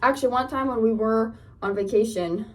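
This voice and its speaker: A woman's voice: a little high, brisk. A young woman from Northern California with a Californian accent, chatting casually in a get-ready-with-me vlog. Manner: rambling, chatty, lots of fillers. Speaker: lifestyle vlogger. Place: home, getting ready. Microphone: phone camera. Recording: voice a bit off-mic, from a distance.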